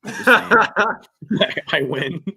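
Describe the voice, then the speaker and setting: A man's voice: high voice, expressive, drawn-out words, creaky, sharp tone, slightly rough. A man in his thirties co-hosting a sports podcast livestream, teasing and laughing easily in casual banter. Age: 30s. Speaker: podcast co-host.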